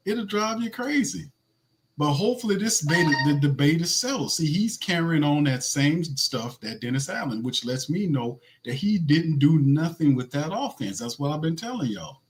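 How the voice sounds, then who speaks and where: A man with a raspy voice hosting a sports podcast, talking loosely with slang and running through game stats. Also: a little high, animated, normal speed. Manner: loose, slangy, restarts a lot, reads stats. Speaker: podcast host. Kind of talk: casual sports podcast talk.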